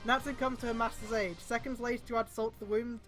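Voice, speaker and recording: A woman's voice: on the high side, fairly even intonation, fast, a bit bright, faint music behind. A woman reading fan fiction aloud on a stream, breaking off with exasperated mocking comments. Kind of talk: live dramatic read-aloud with mocking commentary.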